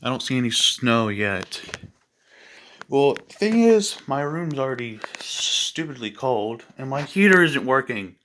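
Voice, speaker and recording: Slow-talking man in casual vlog chat. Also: mid-range voice, very animated, a bit bright. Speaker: hobbyist filming weather radios. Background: faint noise, weather radios.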